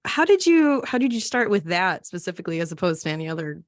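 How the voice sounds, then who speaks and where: A woman's voice: lowish voice, very animated, fast, no echo, studio quality. A woman podcast host asking questions in a casual, conversational interview.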